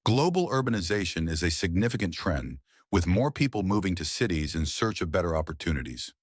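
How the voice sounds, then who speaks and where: A man giving a measured, formal read-aloud of a scripted passage as a model reader. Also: deep voice, very animated, husky, dry recording, clean studio sound. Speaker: model reader for exam practice.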